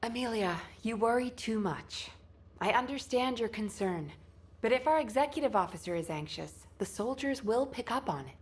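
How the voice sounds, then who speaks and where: A woman's voice: a little high, expressive, normal speed, lightly acted. A woman playing a composed, authoritative military squad leader in a video game. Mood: firm, reasoning.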